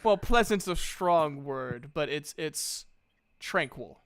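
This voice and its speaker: A man's voice: fairly high pitch, expressive, takes it slowly, a bit bright, slight background noise. A man running a tabletop game, switching between casual rules chat and informal narration as game master.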